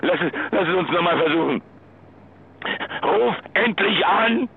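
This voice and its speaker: Agitated, lovesick, threatening husband, radio drama. Halting delivery, thin telephone sound with faint noise behind. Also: male voice, sits high, animated, creaky, exaggerated voice. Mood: pleading, lovesick. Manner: agitated, halting, repeats words. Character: jilted husband, desperate, menacing yet lovesick. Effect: mobile phone filter. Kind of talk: German radio drama acting. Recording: noisy phone line from the road.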